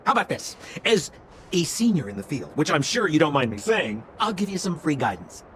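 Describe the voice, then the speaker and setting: A man's voice: a little high, big pitch swings, brisk, fairly bright tone, a little hiss or hum. A man voicing a slick, theatrical male con-man relic dealer in a video game, with glib, wheedling salesman patter. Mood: glib, confiding.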